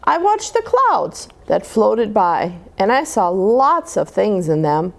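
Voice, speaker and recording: A woman's voice: medium pitch, big pitch swings, slow, vocal fry, exaggerated voice. A woman reading the part of a kindly grandmother in a storybook, reminiscing wistfully about simple homemade play. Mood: fond reminiscing.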